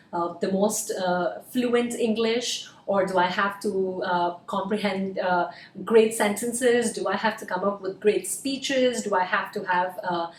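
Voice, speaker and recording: A woman's voice: mid-range voice, distant mic. A woman from India, a Hindi native speaker, giving earnest casual advice as a cabin crew interview coach, with many "uh" fillers. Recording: roomy echo, voice a bit off-mic.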